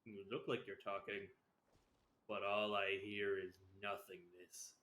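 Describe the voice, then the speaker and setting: A man's voice: on the low side, flat delivery, measured pace, sharp tone. A man doing live gameplay commentary as a streamer, playful and offhand, sometimes reading game text aloud. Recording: roomy, echoing stream mic, faint noise.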